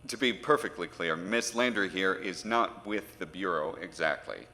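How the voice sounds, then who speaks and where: Man playing an earnest federal agent, low, crisp-edged voice. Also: normal speed, pushed and bright. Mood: diplomatic, insistent. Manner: polite, formal, persuasive. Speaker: actor in audio drama. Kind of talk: audio horror drama acting. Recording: very reverberant, with noise behind.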